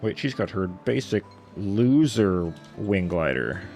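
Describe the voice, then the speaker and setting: A man's voice: sits low, animated, slow, darkish tone, soft background music. A man giving casual, wryly joking commentary during a live game playthrough. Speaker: game streamer.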